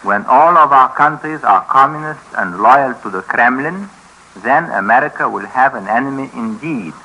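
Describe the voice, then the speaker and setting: An actor playing a grave, educated escapee from communist Eastern Europe, speaking formally and deliberately in a 1950s radio docudrama. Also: male voice, mid-range voice, animated, sharp tone, breathy edge, heavily acted. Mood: solemn warning. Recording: noisy vintage radio recording.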